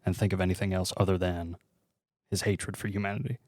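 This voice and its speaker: A man's voice: low voice, flat delivery, fast talker, a little mellow, no room sound, clean studio sound. A man co-hosting a podcast in casual chat, laconic, mostly putting in short agreeing remarks. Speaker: podcast co-host.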